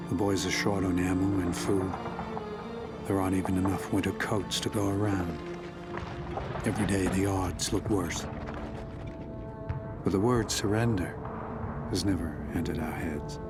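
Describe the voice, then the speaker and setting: A weary American paratrooper sergeant narrates his wartime journal in a low, grave voice. He sounds measured and resolute, in the manner of a World War II game cutscene, with loud music underneath. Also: steady tone. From North Dakota, United States. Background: prominent music.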